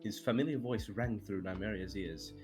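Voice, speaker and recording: A man's voice: fairly low pitch, normal speed, darkish tone, soft background music. A man giving an amateur dramatic narration of a story, now and then stumbling over the words. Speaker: reader of fan fiction on a livestream.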